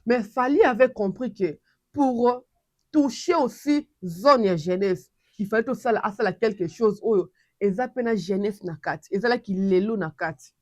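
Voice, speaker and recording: Animated Congolese woman commentator, dry sound. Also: medium pitch, moderate pace, dry recording. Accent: Congolese French. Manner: animated, many restarts, mixes French and Lingala. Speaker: channel host and commentator. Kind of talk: casual commentary to camera.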